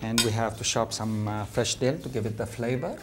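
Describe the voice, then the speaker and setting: A middle-aged man, a restaurant chef, explaining a recipe in halting full of pauses. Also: mid-range voice, music in the background. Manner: halting non-native English with many uhs. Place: studio kitchen set.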